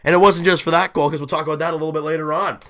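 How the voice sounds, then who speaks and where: Hoarse young male fan host, punchy bright delivery, excitable recap. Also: fairly high pitch, very fast. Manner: excited, rambling, self-interrupting. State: hoarse, deep rough voice after a late night. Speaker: hockey fan video host. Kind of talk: casual game recap.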